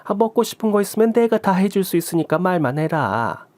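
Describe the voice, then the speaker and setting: A man's voice: sits high, sing-song, fairly quick, a little mellow, no echo, pitched above the performer's own voice. A man voicing a doting mother-in-law near sixty who scolds with fussy affection.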